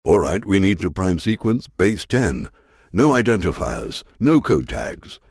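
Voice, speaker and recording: A man playing an earnest, resolute science professor in a sci-fi audio drama, with a husky voice and deliberate delivery. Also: low voice, big pitch swings, darkish tone, no room sound, studio quality, exaggerated voice. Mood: intent, determined.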